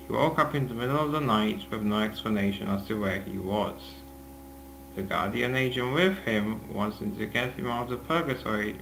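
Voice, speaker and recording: A man's voice: fairly low pitch, gravelly, low-quality recording. A man reading scripted narration aloud as the narrator of a table read, with music playing behind him.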